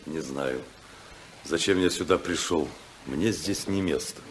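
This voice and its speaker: A man's voice: a bass voice, unhurried, a little hiss or hum, rough recording. A male actor playing a blunt, uneasy rebel politician in a 1950s radio drama. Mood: earnest, uneasy.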